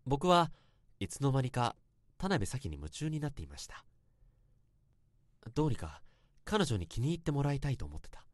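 An actor playing an earnest young office worker who narrates a past infatuation in a radio drama, with polite, literary phrasing. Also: male voice, medium pitch, sing-song, normal speed, long pauses, dry recording, clean studio sound, close to an everyday voice. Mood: earnest, confessional. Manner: polite, formal, literary storytelling.

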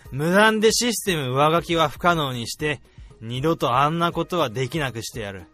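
A man's voice: fairly high pitch, expressive, moderate pace, pushed and bright, faint music behind. A man playing a blunt, hot-tempered AI developer in a radio drama, with dramatic, emphatic delivery. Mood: brisk, triumphant. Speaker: lead character and narrator.

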